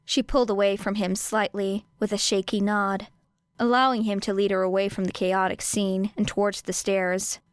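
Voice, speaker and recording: A woman's voice: medium pitch, flat delivery, moderate pace, smooth, dry recording. A woman reading a scripted story as an audiobook narrator.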